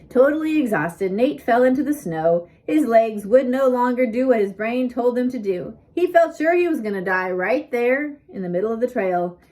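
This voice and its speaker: Higher-voiced woman reading a storybook aloud. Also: darkish tone. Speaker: audiobook reader. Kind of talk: scripted storybook narration.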